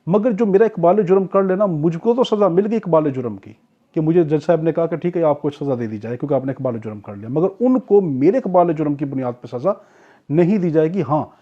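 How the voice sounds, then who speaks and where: A man's voice: fairly high pitch, expressive, brisk. A man with a Pakistani accent teaching law in an explanatory lecture, posing questions and answering them himself. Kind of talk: explanatory lecture with acted-out examples. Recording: dull, muffled lo-fi lecture recording.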